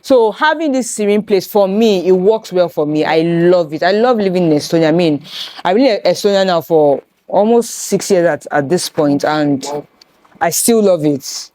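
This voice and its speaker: A Nigerian woman hosting a casual chat with friends, animated and slipping into Yoruba phrases. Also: fairly low pitch, normal speed, dry recording. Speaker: host.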